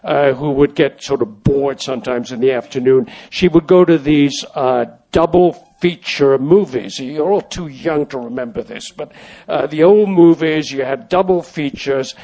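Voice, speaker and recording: An older man, a native French speaker, recalls his past in a meandering manner, stopping and restarting often. His voice sits a little high for a man. He speaks as a guest in a relaxed conversation. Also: rough recording. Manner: rambling, halting, with many restarts and fillers. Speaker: interview guest, former child actor. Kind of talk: conversational storytelling.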